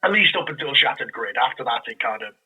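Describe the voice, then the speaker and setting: A man with a very bright, forceful voice in a casual podcast interview. He explains his creative work as a guest, in an animated way, slipping into his own reactions as he goes. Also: medium pitch, fast talker, husky, rough recording.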